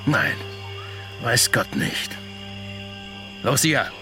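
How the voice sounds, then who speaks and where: A man's voice: mid-range voice, expressive, very slow. A man playing a hard, suspicious outlaw captain in a German radio drama, curt, commanding and threatening. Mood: threatening, impatient. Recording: music behind the voice.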